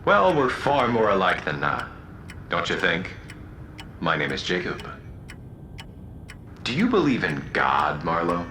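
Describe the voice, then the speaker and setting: An actor playing a mocking, menacing male prisoner in a radio drama, theatrical and softly condescending. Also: sits low, wildly swooping pitch, measured pace, pauses often, slight background noise, exaggerated voice. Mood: sly, probing.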